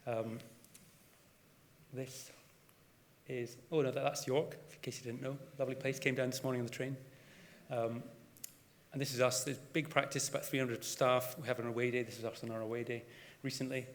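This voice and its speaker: A Scottish man gives a conference talk in a casual, unhurried way, often restarting his sentences. His voice sits at an ordinary pitch for a man. A long reverb from the large hall trails behind his words. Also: level tone. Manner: conversational, with frequent restarts and fillers. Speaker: GP and conference speaker. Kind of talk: conference presentation.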